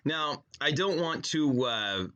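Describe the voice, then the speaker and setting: A man's voice: fairly high pitch, lively intonation, slow, a bit bright, muffled recording. An American man chatting casually as a podcast guest, with a wry, joking manner. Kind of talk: casual chat.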